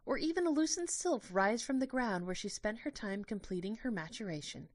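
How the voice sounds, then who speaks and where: A woman voicing a gentle, petite female tour guide who explains things politely to a group, in an audio drama. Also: on the high side, animated, brisk, no room sound, pitched above the performer's own voice. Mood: pleasant. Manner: explanatory, polite public address.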